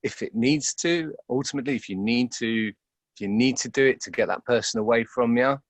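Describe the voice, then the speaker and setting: A man's voice: mid-range voice, a little fast, no room sound. A man giving conversational interview answers as an actor, hesitant and often repeating words as he thinks aloud.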